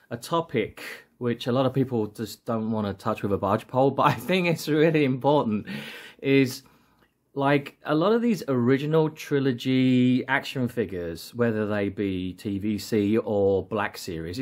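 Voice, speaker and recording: Late-forties male vlogger, chatty and jokey, loose rambling delivery with many false starts. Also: medium pitch. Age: 40s. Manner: rambling, jokey, often restarting sentences. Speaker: action figure seller and channel host. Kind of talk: casual vlog rant.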